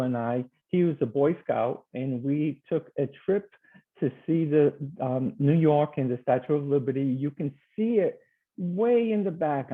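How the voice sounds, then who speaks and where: A man from Portugal, a teacher presenting slides over a video call in a friendly, hesitant way with many fillers. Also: on the high side. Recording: tinny video call line.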